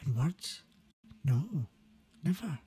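A man voicing a gentle, sorrowful young woman in a storybook reading, breathy, earnest and formal. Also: on the high side, big pitch swings, drawn-out words, pitched above the performer's own voice, heavily acted. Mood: sorrowful resolve.

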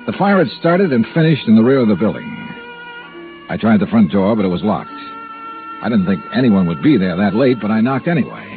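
Hard-boiled, low-voiced American radio detective. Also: male voice, very animated, fairly quick, phone-quality sound. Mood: dry, atmospheric narration. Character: hard-boiled male insurance investigator, dry, persistent, unhurried. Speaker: lead actor and narrator of a radio detective series. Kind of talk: 1950s radio detective acting and first-person narration. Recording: band-limited old radio recording with music.

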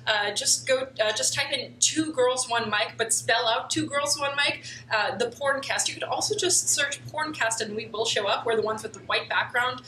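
A woman's voice: a little high, normal speed, hardly any pauses, bright, forceful tone, a little hiss or hum. A woman in her thirties with a slight Polish accent, Polish her first language, a podcast guest speaking in a dry, matter-of-fact way into a handheld mic. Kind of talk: casual interview chat. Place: indoor convention lounge on a couch. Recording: echoey room with faint ambience.

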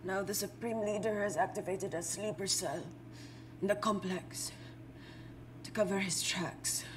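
A woman's voice: medium pitch, measured pace, background noise, natural voice. An actress playing a wounded, distrustful young woman in an interactive film drama. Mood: grave. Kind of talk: screen drama acting.

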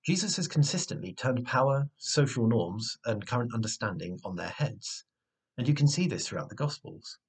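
A man in his twenties with a slightly husky voice, giving a measured, earnest sermon, recorded indoors at home on a device mic. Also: mid-range voice, expressive, rough recording. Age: 20s. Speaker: preacher. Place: small room at home, indoors. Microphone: webcam or device mic at arm's length.

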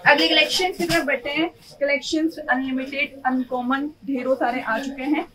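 Young female shop presenter, high-pitched, brisk sales patter, recorded in a showroom. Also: fairly even intonation, a crowd in the background, rough recording. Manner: upbeat salesy, repeats "you will get". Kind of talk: product showcase sales pitch. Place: indoor clothing showroom.

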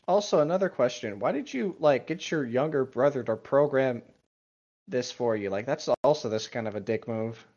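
A man's voice: mid-range voice, normal speed, darkish tone. A man reading a story aloud casually and breaking off for irreverent, sweary commentary. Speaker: co-host reading stories aloud. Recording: dull, lo-fi microphone.

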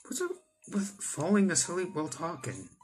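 A man's voice: a little high, sing-song, moderate pace. Young man reading a visual novel, music behind. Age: young adult. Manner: stumbles over text and rereads lines. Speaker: gaming video host. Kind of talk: reading visual novel aloud with casual commentary. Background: game music.

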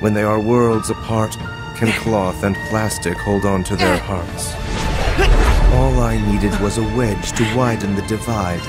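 An actor playing a cold, scheming young male villain in a video game, with a measured, taunting manner. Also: on the low side, fairly even intonation, natural voice. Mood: cold, menacing. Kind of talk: video game cutscene acting. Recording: loud music behind.

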